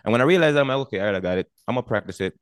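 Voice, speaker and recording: A middle-aged man speaks quickly and with emphasis, his low voice rolling from point to point. He repeats words as he gathers his thoughts. The sound is dry and close. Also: clean tone, dry recording. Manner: energetic, emphatic, repeats himself while thinking. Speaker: guest, retired army veteran and coauthor. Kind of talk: casual podcast conversation.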